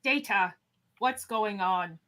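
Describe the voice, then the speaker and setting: A woman's voice: medium pitch, slow pace, pushed and bright. A woman playing a dignified, authoritative starship captain in a fan radio play. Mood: questioning. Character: commanding, questioning starship captain, formal and dignified.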